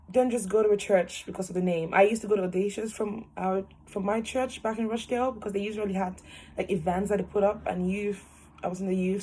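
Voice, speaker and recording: A woman's voice: mid-range voice, breathy edge, poor audio. A woman, telling her story in a cheerful, laughing way over a video call from a small room at home. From Cameroon. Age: 20s. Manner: cheerful, laughs often, animated hands. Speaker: interviewee, student nurse. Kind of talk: casual personal story. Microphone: webcam on a video call.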